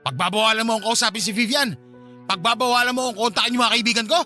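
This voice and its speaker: Defensive, resentful young Filipino husband, radio serial; rapid, forceful delivery with music underneath. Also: male voice, extremely high pitch, fairly even intonation, heavily acted. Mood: angry, defiant. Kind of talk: Filipino radio drama acting.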